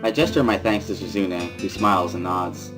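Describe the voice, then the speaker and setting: A man's voice: low voice, moderate pace. A man giving an amateur first-person dramatic reading of a visual novel as its narrator. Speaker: amateur reader and narrator of a series. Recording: prominent background music under voice. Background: instrumental music.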